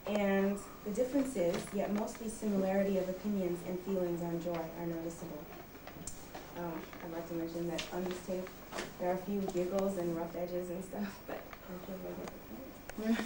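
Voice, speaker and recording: A young adult woman hosting a radio show in a relaxed, casual way with fillers and small laughs. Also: lowish voice, moderate pace. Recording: roomy, distant, noisy recording.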